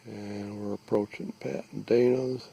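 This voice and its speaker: A man giving a halting, deliberate, matter-of-fact informal field narration outdoors, recorded on a handheld device. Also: on the low side, steady tone, vocal fry, dark tone, poor audio. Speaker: lake resident surveying an algae bloom. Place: outdoors, out on a small lake. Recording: faint outdoor background noise.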